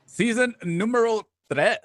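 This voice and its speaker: A man's voice: sits high, a little slow, fairly bright tone, no echo, studio quality, soft background music. A man hosting a casual live show, talkative and playful, reading promos and dropping in rambling asides. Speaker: host.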